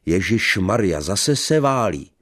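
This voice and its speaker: A man voicing an angry, jealous young husband who shouts and scolds coarsely. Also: a bass voice, sing-song, slightly rough, full character voice. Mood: angry scolding.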